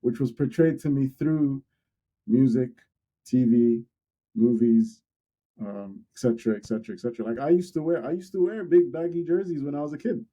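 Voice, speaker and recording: Mellow-voiced young man, dull lo-fi sound. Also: mid-range voice, normal speed, slightly rough. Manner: relaxed, candid, repeats words while thinking. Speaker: podcast co-host. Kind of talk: casual podcast discussion.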